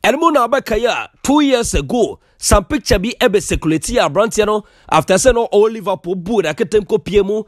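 A man with a Ghanaian accent reads sports news, mixing Twi with English as he goes. His voice sits high. The recording is dry and close. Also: animated, fairly quick, few pauses, dry recording.

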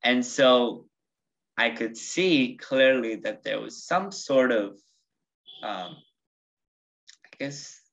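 A man's voice: mid-range voice, expressive, slow, creaky, pauses often, fairly bright tone. A teenage boy with a slight Indian accent, telling a personal story on a video call, reflective and full of ums. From United States, raised partly in India. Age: teens. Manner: reflective, with many ums and restarts. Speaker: student guest. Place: bedroom at home. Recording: muffled video call audio.

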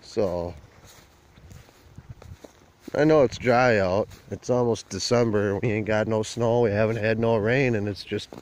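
A man's voice: fairly low pitch, fairly even intonation, a little slow, long pauses, darkish tone. A man vlogging on a phone as he walks a burnt field outdoors, talking in a plain, rambling rural way. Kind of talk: casual walk-and-talk vlog. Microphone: handheld phone camera while walking.

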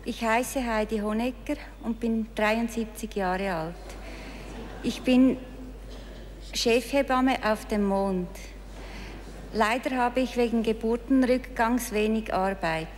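Woman, slow and deadpan, echoey studio. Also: a little high, slight background noise. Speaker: game show contestant. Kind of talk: deadpan mock-serious introduction. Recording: reverberant TV studio.

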